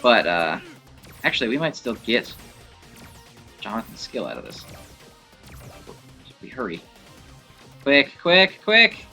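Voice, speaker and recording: A man giving casual, rambling commentary on gameplay in an informal, animated way. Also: medium pitch, slow pace, long pauses, faint music behind. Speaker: gameplay showcase host.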